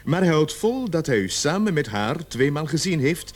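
An actor in a radio play, a man playing a calm police interrogator who is measured, dryly sceptical and insinuating. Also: fairly high pitch. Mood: probing. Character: calm, probing, insinuating male police officer interrogating suspect.

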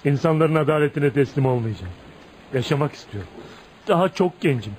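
Radio play lead: bitter, brooding outcast in his thirties male actor, emotional and dramatic, higher register, light background noise. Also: animated, brisk, a little mellow, close to an everyday voice. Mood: defiant, vengeful. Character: tormented, bitter 35-year-old outcast man, brooding and volatile. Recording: noisy radio drama recording.

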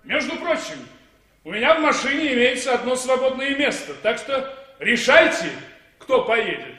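An actor in a 1960s Soviet radio play as a self-important, pushy car owner, pompous and officious. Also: male voice, extremely high pitch, very animated, moderate pace, bright, forceful tone, exaggerated voice.

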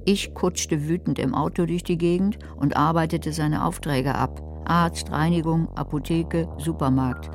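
Dry, sardonic woman, flat pitch. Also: unhurried, few pauses, faint music behind. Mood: dry, wry. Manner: dry, sarcastic, biting. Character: sardonic, resentful woman telling her own crime story. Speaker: narrator and lead character. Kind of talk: radio drama narration and dialogue.

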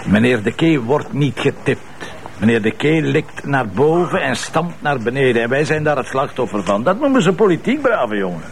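Sardonic middle-aged Flemish commissioner: grumbling, creaky voice with big swings in intonation, faint noise behind. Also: male voice, medium pitch, very animated, normal speed. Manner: sarcastic, colloquial. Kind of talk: naturalistic TV crime drama acting.

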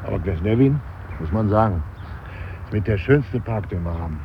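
A blunt, grumbling mourner in a radio play, with a low voice. He chats in broken-off, opinionated sentences, on a slightly noisy, dull recording with outdoor ambience. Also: lively intonation, moderate pace. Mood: opinionated, indignant. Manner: chatty, blunt, breaking off sentences. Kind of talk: naturalistic radio play dialogue. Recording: dull 1970s radio, faint outdoor noise.

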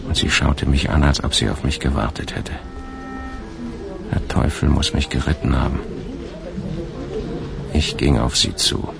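A man's voice: rumbling low, unhurried, gravelly, pauses often, crowd noise, heavily acted. An actor playing a weary hunted police officer, confiding his diary in brooding, clipped phrases in a radio drama. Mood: tense, weary. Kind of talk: radio drama first-person narration. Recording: loud music under the voice.

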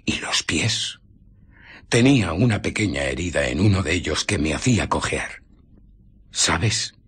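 An actor playing a bitter, haunted scientist and fugitive, recounting his ordeal in a radio play with theatrical, deliberate pauses. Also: low voice, expressive, fairly bright tone, husky, full character voice. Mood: weary, fearful. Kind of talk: dramatic radio play narration.

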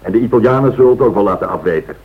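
A man's voice: on the low side, animated, racing, breathy edge. An actor playing an authoritative male airline director in a radio drama, firm and businesslike. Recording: thin, phone-like old radio recording.

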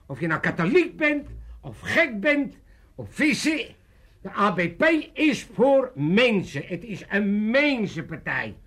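An actor playing an excitable, self-important older father in a comic radio play, rambling and stammering pompously. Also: high-pitched, a little slow, heavily acted. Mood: excited, pompous. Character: excitable self-important old Amsterdam father, dreaming of politics.

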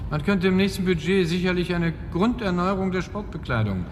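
An actor playing a smooth, calculating middle-aged mayor in a radio drama, speaking in an insinuating official manner. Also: male voice, high voice, lively intonation, a little fast. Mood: businesslike. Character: smooth, calculating mayor bargaining for political support. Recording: noisy background ambience.

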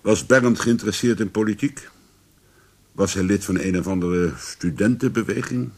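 An actor playing a dutiful middle-aged police commissioner in a radio drama. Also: male voice, fairly low pitch, moderate pace, darkish tone, close to an everyday voice. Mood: businesslike.

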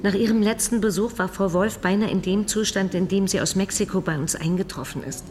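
An actress playing a stern, protective doctor in a radio drama, with a formal, reproachful way of talking. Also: female voice, medium pitch, fairly quick, darkish tone, long reverb tail, slight background noise, natural voice. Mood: reproachful, concerned.

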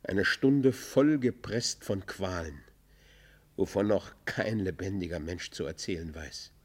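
Low-voiced, tormented outlaw. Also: male voice, unhurried, breathy edge. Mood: anguished. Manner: anguished, declamatory, literary storytelling. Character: tormented, guilt-ridden male fugitive outlaw confessing his crimes. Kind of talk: German radio play monologue and dialogue.

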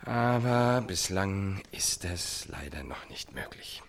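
An actor playing a formal, emphatic news anchor in a radio drama. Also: male voice, low voice, lively intonation, takes it slowly, vocal fry, full character voice. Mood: urgent, insistent. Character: earnest, theatrical news anchor of a reality show.

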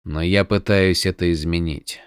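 A man's voice: low-pitched, steady tone, normal speed, smooth, dry recording, clean studio sound, pitched below the performer's own voice, lightly acted. A man voicing a weary, wounded young spearman in a fantasy audiobook, speaking in a tired, resolute near-whisper. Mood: subdued.

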